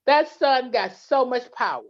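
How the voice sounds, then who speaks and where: A woman's voice: high-pitched, expressive, unhurried. Earnest storytelling woman. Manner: earnest storytelling with many restarts. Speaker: guest, legal plan representative. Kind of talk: interview conversation. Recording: dull lo-fi sound.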